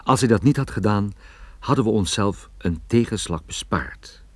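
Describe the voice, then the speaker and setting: Casting: tired, wry private eye for radio drama, low-pitched, very animated delivery, urgent in dialogue. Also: male voice, moderate pace. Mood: weary narration. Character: male private detective and narrator, weary, urgent, wry. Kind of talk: radio play acting with first-person narration.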